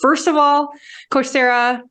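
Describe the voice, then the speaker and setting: A woman's voice: sits high, steady tone, measured pace, a bit bright. A woman co-hosting a podcast, opening with a gushing, enthusiastic introduction. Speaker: podcast co-host.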